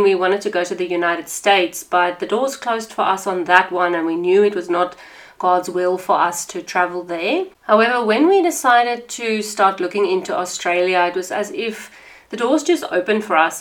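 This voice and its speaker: Chatty South African woman podcaster. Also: lowish voice. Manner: warm, chatty, with frequent fillers and restarts. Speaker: podcast host. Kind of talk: casual podcast monologue.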